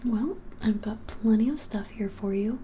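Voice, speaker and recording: A woman in her twenties whispers through an ASMR roleplay. Her voice is breathy and soft-edged, with a mellow, dark tone. She talks in a gentle, conversational, halting way over a faint background hiss. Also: medium pitch. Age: 20s. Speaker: ASMR creator playing a witch shop keeper. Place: small plain room indoors.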